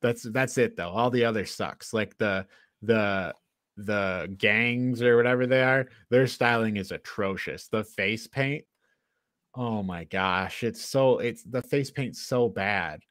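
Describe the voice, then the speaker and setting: A man's voice: fairly low pitch, takes it slowly, fairly bright tone, no echo, clean studio sound. A man co-hosting a casual film review podcast, talking in a blunt, hyperbolic, informal way. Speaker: podcast co-host.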